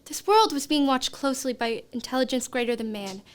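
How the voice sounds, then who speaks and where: Woman acting high-voiced formal radio announcer. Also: animated, brisk. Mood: solemn. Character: formal female 1930s radio newsreader and announcer. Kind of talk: scripted radio play announcing.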